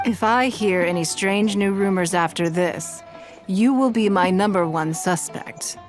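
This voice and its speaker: A woman's voice: medium pitch, faint music behind, close to an everyday voice. Woman acting poised, commanding noblewoman. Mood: exasperated. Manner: measured, refined, authoritative. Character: poised, commanding noblewoman, composed, elegant and businesslike. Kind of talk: video game voice acting.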